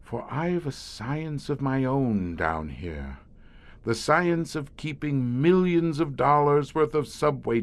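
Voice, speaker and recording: An actor playing a haunted, learned middle-aged scientist who guards monster-ridden tunnels, speaking in a grave, florid, theatrical way for an audio horror drama. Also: male voice, mid-range voice. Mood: proud, wry. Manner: grave, florid, dramatic storyteller with weighty pauses.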